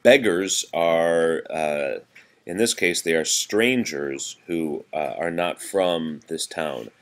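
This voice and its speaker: Very deep-voiced man reading nursery rhymes. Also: slow pace. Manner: sing-song rhyme reading with informal explanations. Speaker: parent reading to a child. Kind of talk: read-aloud of nursery rhymes with casual chat to a child.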